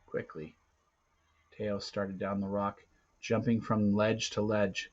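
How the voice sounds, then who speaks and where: A man narrating a storybook aloud theatrically, with dramatic pauses and swings between hushed and loud delivery. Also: fairly low pitch, level tone, lo-fi sound.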